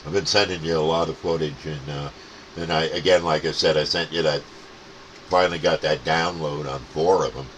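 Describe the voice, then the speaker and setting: A man chatting casually as a CB radio hobbyist, rambling and halting, with plenty of radio jargon. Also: rumbling low, steady tone, a bit bright, slight background noise, rough recording.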